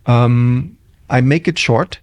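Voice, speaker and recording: A man's voice: mid-range voice, takes it slowly, a little mellow, no room sound. A middle-aged man with a German accent, a hotel general manager telling his career story in a podcast interview, reflective and full of ums and restarts.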